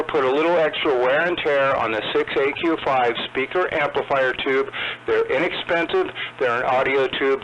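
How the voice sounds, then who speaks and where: A man's voice: mid-range voice, fairly bright tone, highs cut off, a little hiss or hum. A man narrating an instructional demonstration as a radio repair technician.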